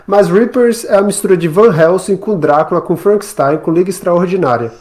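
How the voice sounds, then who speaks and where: A man's voice: high voice, a little fast, a little mellow. A man co-hosting a live podcast, explaining things in casual conversation. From Salvador, Brazil. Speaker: podcast co-host.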